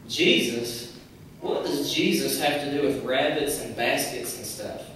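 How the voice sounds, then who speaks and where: A man voicing a sullen, dismissive boy puppet in a scornful, low-energy mumble for a church puppet show. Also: unhurried, fairly bright tone, natural voice. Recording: very echoey hall, distant mic, noisy.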